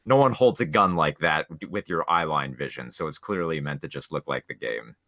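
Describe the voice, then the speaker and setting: A man with a low, bright voice talks through films as a podcast co-host. He is opinionated and likes to explain his point, and the recording is close and dry. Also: moderate pace, dry recording. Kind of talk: casual film discussion.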